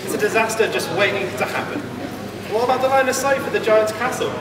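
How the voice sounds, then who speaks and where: A man's voice: high voice, quick pace, a loud crowd, close to an everyday voice. A man playing a pompous, nitpicking health and safety officer in an amateur stage pantomime, fussy and officious. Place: large hall stage with audience. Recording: echoey hall from far off, audience noise.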